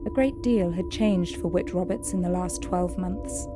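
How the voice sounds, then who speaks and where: Measured female narrator over music. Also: on the low side. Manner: measured, descriptive storytelling. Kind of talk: scripted audio drama narration.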